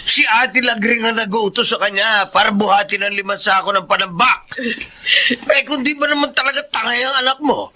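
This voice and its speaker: An actor playing a callous, defensive husband with a brash, dismissive manner in a radio drama. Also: male voice, high-pitched, normal speed, pushed and bright. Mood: angry, defensive.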